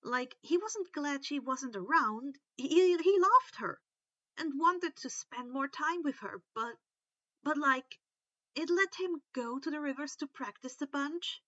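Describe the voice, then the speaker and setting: A woman narrating a story in an expressive, animated audiobook style, stressing casual asides. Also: high-pitched, no room sound, lo-fi sound. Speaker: reader of fan fiction for online listeners.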